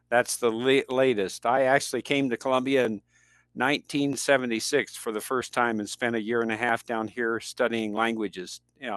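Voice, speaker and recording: Older american guy talking about teaching languages, smooth resonant voice, dry sound. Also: medium pitch, fairly bright tone, no room sound. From United States. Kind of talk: interview conversation.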